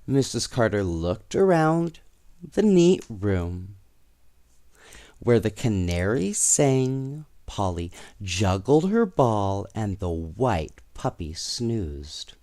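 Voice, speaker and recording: Man, storyteller, very animated swooping pitch, unhurried pace, playful, expressive, dry close sound. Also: no room sound. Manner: expressive, playful delivery for children. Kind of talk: animated bedtime story reading with casual asides to young listeners.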